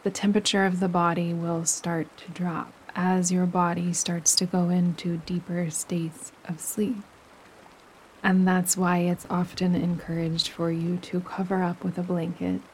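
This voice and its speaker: Slow, low-pitched woman guiding meditation. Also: a little hiss or hum, low-quality recording. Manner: soothing, gentle instruction with unhurried pauses. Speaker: yoga nidra meditation guide. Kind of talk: guided relaxation meditation. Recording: steady running stream water behind voice. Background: gentle running stream sounds.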